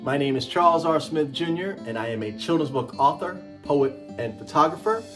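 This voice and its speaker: A man's voice: on the high side, expressive, fairly quick, over music. A middle-aged man, a children's author, presenting and reading a picture book aloud with an emphatic, rhythmic delivery.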